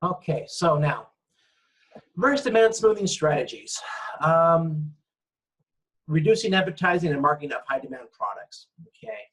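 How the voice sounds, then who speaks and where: A man's voice: fairly high pitch, animated, normal speed, pauses often, a bit bright, rough recording. A man lecturing informally over slides, explaining things in a conversational way and often checking in. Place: online lecture with screen-shared slides.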